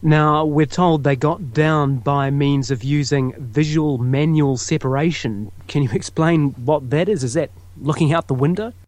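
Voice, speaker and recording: A man's voice: a little high, a little slow, few pauses, a little mellow, dry recording. A man presenting radio news and putting questions as an interviewer.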